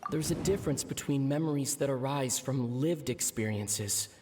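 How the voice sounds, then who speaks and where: An actor playing a serious, reserved, knowledgeable wanderer in a video game, explaining things in a measured, deliberate manner. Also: male voice, medium pitch, noisy background, lightly acted. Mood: measured, sceptical. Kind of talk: video game character acting.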